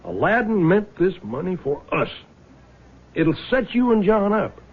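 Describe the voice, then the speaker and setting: A man's voice: high voice, very animated, measured pace, husky, exaggerated voice. An actor in a radio drama playing a wry, resigned older father who rationalizes his way through things. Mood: confiding, rationalizing. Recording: muffled old radio broadcast.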